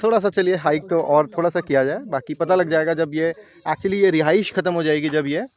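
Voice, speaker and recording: A man's voice: a little high, a little fast. A man from India narrating a travel vlog outdoors on a mountain trail, talking straight to his viewers in a chatty way while filming on a handheld camera. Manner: chatty, talks directly to viewers. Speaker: travel vlogger.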